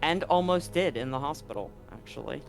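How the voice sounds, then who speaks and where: A man's voice: a little high, lively intonation, brisk, gravelly, over music. A man as game master of a tabletop roleplaying game, explaining rules and recapping in a chatty way with frequent ums.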